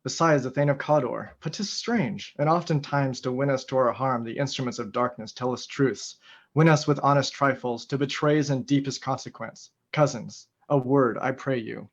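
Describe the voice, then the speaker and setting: A man's voice: medium pitch, normal speed, lightly acted. A man playing a wary, cautioning soldier lord in a Shakespearean radio play, speaking theatrical verse. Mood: wary warning. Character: wary soldier lord, suspicious of dark prophecy. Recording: slightly dull, lo-fi sound.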